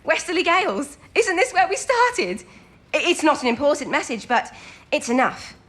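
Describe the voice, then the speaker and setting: An actress playing an eager, warm-hearted young female codebreaker in a wartime audio drama. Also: high voice, lively intonation, moderate pace. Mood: breathless excitement. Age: young adult.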